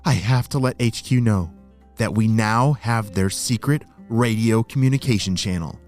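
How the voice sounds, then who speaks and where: A man voicing a plucky, excitable young schoolgirl spy who thinks aloud in a children's bedtime story. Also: fairly low pitch, normal speed, faint music behind, voice pushed down, natural voice. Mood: startled. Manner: eager, wide-eyed, thinking aloud.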